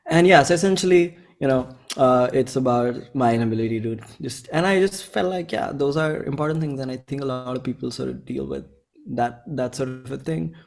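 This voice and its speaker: A man's voice: medium pitch, fairly quick, gravelly, darkish tone. A man, a musician talking informally and thinking aloud in an interview, often saying "like". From India. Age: 20s. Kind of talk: casual interview chat.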